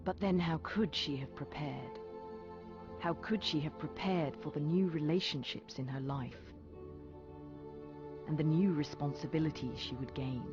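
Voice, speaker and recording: A man acting as a long-lived storyteller, narrating a young girl's tale in a measured, wistful, literary manner for a game. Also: a little high. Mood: solemn, wistful. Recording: dull audio under loud music.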